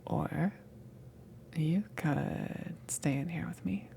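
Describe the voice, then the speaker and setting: A woman playing a flustered, self-conscious alien crewmember in an ASMR roleplay, breathy and stammering, smitten with the listener. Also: low voice, mellow, heavily acted. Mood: flustered, hesitant. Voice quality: breathy, creaky, often whispered. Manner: hesitant, stammering, slow, awkward.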